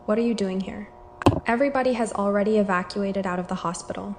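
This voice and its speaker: A woman's voice: mid-range voice, fast talker, a little mellow, slight background noise, close to an everyday voice. A woman playing a polite, concerned hospital doctor in an amateur horror drama, speaking matter-of-factly.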